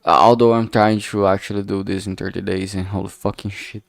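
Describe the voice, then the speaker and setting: A man talking casually while working on a solo stream, halting and rambling, often trailing off mid-sentence and swearing freely. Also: low-pitched, level tone, vocal fry, no echo, studio quality. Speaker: solo streamer developing a visual novel. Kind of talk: casual work-along stream monologue.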